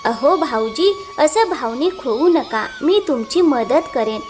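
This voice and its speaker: A woman playing a gentle, selfless younger married village sister in an animated moral story, earnest and respectful. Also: high voice, takes it slowly, faint music behind. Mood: kind, earnest.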